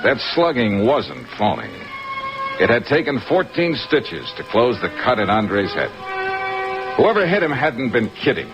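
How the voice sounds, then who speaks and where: An actor playing a sardonic, hard-boiled American investigator in an old-time radio detective drama, clipped and flippant. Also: male voice, mid-range voice, wildly swooping pitch, a little slow, over music. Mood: brooding narration. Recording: muffled hissy old radio, rain over it.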